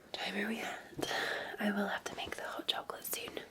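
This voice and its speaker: A Scottish woman whispering softly and breathily in a gentle, soothing, unhurried ASMR roleplay as a café barista. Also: mid-range voice, little pitch movement.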